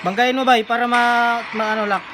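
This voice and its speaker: A woman's voice: fairly high pitch, steady tone, a little fast, background noise. A woman with a Filipino accent chatting casually while playing a mobile game, taunting and swearing in short repeated phrases. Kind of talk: casual gameplay chatter with teammate. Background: music.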